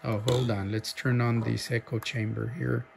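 A man casually showing off a radio he is selling, talking haltingly with many fillers and breaking into loud clipped mic tests. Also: mid-range voice, level tone, gravelly, very noisy. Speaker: seller demonstrating a radio. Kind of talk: casual off-the-cuff product demo. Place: indoor workshop bench.

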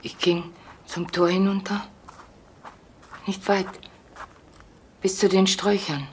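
An actress playing an agitated, protective housekeeper who pleads and confides in a German crime radio play. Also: female voice, medium pitch, level tone, slow pace, lightly acted. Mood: subdued, recounting. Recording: noisy old radio play recording.

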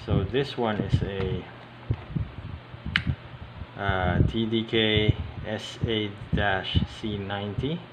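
A man with a slightly low voice talks very slowly through an informal, unscripted hands-on demonstration. He is hesitant, often stopping mid-sentence before carrying on. The recording has loud, steady noise and clicks behind him. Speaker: hobbyist video host. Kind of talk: casual unscripted show-and-tell commentary. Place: indoors at a tabletop. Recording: steady loud air-conditioning hiss, handling clicks. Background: loud background noise, clicks.

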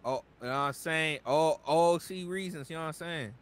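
A man's voice: on the high side, moderate pace, clear, resonant tone. A young American man chatting casually in a roleplay game, using slang and swearing. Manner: slangy, profane. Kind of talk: casual in-character game banter.

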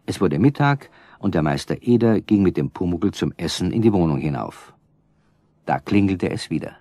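A man's voice: low voice, lively intonation, normal speed, warm tone, no echo, studio quality. A man as the storyteller, giving scripted narration in a children's radio play. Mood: measured storytelling.

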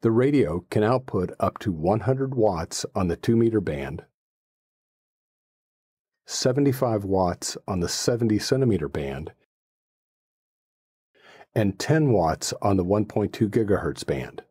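Low, mellow-voiced man explaining things. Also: lively intonation, a little slow, pauses often, breathy edge, dry recording, clean studio sound. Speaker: host presenting a radio installation. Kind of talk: explanatory how-to presentation.

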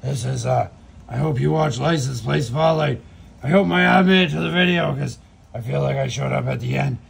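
A man's voice: mid-range voice, moderate pace, creaky, husky. A man voicing a lost, bewildered comic character who is out of breath and rambling in confusion. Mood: dazed, breathless. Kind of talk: comic sketch sign-off.

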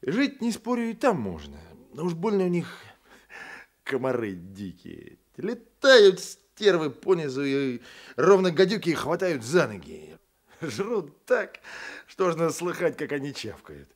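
Swaggering, animated folksy Cossack constable. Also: male voice, on the high side. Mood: folksy boasting. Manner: rustic colloquial speech, unhurried yarn-spinning. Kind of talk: radio play acting.

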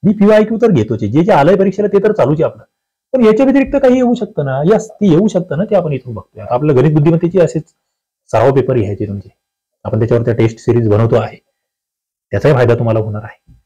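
Male coaching teacher, Maharashtrian accent, soft mellow tone, instructive and emphatic, walks through quiz questions. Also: fairly high pitch, lively intonation, fast talker, husky, lo-fi sound. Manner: instructive, emphatic, often asks rhetorical questions. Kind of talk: quiz-paper lesson, reading questions and answers aloud.

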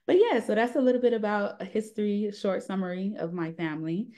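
A woman's voice: medium pitch, fast, dark tone. A woman from Cincinnati, Ohio, chatting casually as a guest on a video call.

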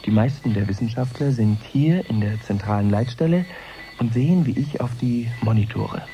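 Actor as bright-voiced, earnest live reporter, male. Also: mid-range voice, normal speed, natural voice, rough recording. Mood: hushed suspense. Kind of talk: live broadcast reportage, radio drama.

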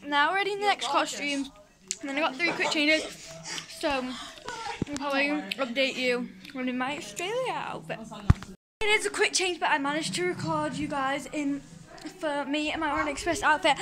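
A teenage girl giving a casual video diary to camera, recorded on a phone held at arm's length backstage. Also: sits high. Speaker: vlogger and youth pantomime performer. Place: backstage dressing area indoors.

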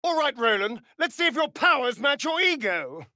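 A man's voice: extremely high pitch, sing-song, moderate pace, sharp tone, no room sound, studio quality, full character voice. A man playing a vain, sardonic aristocratic vampire rogue in a video game, with a British accent and an arch, theatrical drawl. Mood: musing. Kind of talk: video game character acting.

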